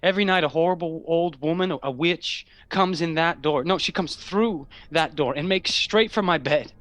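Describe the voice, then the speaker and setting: Male actor as troubled young farmhand and younger brother, halting delivery, radio play, dry close sound. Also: on the high side, fairly bright tone, dry recording, close to an everyday voice. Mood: subdued. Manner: hesitant, halting. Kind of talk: radio drama acting.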